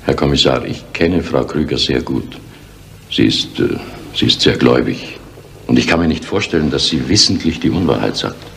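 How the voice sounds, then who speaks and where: An actor playing an earnest, solemn village confidant with measured, formal delivery in a seventies radio crime drama. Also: male voice, a bass voice, animated, creaky, a bit bright, heavily acted. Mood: earnest, defensive. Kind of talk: 1970s German radio crime drama acting. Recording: old radio recording with faint noise.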